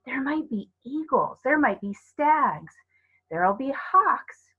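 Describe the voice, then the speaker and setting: A woman's voice: sits high, big pitch swings, slow pace, husky, narrow, tinny audio, voice pushed up, exaggerated voice. A woman voicing a confident, eager older schoolboy in a storybook, with assured, emphatic delivery. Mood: eager, excited. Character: confident, adventurous, eager schoolboy, eldest brother.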